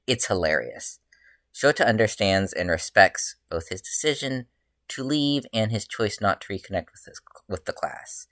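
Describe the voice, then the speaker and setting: A low-pitched narrator reads fiction aloud. The delivery is informal, like a live reading, with the odd stumble. The sound is dry, with little room in it. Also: animated, normal speed, a bit bright, dry recording. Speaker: author reading own fan fiction.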